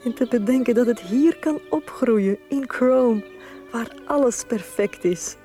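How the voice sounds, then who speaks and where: A gentle young wife full of wonder , played by a woman, speaking slowly and tenderly with a Flemish accent. The voice is soft-toned and mellow, in radio drama style with faint music. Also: a little high, lightly acted. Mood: loving, hopeful.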